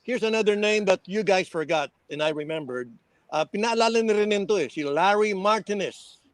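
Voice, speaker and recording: A man's voice: high voice, expressive, fairly quick. An older man with a Filipino accent, a radio veteran reminiscing casually and switching between languages. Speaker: radio veteran on reunion panel.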